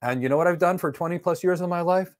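A man's voice: sits high, quick pace. A middle-aged man teaching networking on a live stream, answering viewer questions in a blunt, emphatic, matter-of-fact way. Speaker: networking instructor. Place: indoor studio with virtual backdrop.

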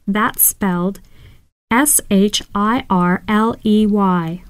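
Woman, mid pitch, slow deliberate pacing, dry close sound, for an English-learner listening track. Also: no echo. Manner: slow, clear, careful enunciation. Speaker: voice actor in listening exercise. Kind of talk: scripted English listening dialogue.

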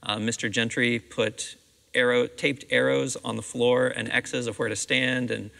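A man's voice: mid-range voice, little pitch movement, a little slow, creaky, bright, forceful tone. A middle-aged American man answering interview questions in conversation as a theatre director. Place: seated on the stage of a theatre auditorium. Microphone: clip-on lapel microphone. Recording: reverberant empty auditorium.

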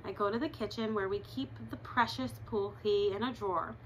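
A woman in her thirties reads a chapter book aloud with a clear, bright tone, lively and expressive as she goes. She stops now and then to chat with her listeners in an easy, conversational way. She is recorded on a camera's built-in mic in a living room at home, with a faint hum of room sound behind her. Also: mid-range voice, a little fast, rough recording. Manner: expressive read-aloud with chatty asides. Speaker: reader.

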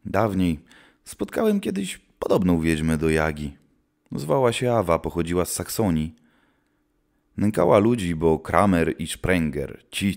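Male actor as seasoned witch hunter: low, solemn, grim, archaic storytelling for audio drama. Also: a little mellow.

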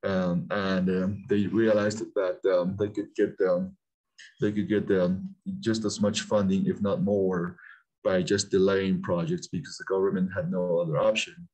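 A man in his forties from Peru, an aerospace CEO talking as a guest over a video call, halting with many fillers and restarts, then bursting into animated emphasis. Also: sits low, poor audio. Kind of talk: casual interview talk.

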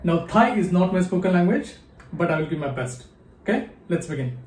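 A man's voice: high-pitched, animated, fairly quick, a little mellow. A man in his thirties with an Indian English accent, speaking to camera as a channel host and reciting number words one at a time. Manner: counts number words one at a time, with tones that rise and fall. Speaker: memory athlete, channel host. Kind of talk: to-camera video with a slow, deliberate recitation. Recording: echoey room.

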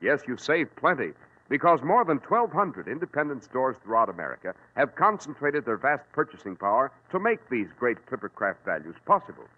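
Animated male announcer on tinny old radio. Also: medium pitch, a little fast. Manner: salesmanlike, emphatic. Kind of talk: 1940s radio advertisement.

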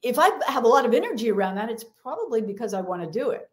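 Middle-aged female coach, high and animated, energetic interview guest. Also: fast. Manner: energetic, acts out quoted voices. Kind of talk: podcast interview.

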